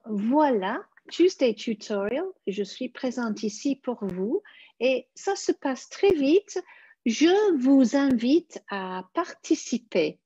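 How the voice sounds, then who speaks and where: A woman teaching a live online French lesson, speaking slowly and deliberately and spelling out careful examples for her learners. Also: fairly high pitch, mellow, husky, no room sound. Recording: dull, dry lo-fi stream audio.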